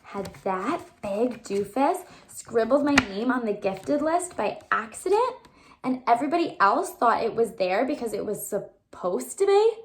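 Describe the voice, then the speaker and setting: Female read-aloud teacher, voice a little high, animated storyteller for young listeners, explains as she goes. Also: unhurried, a little hiss or hum. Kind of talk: expressive children's book read-aloud.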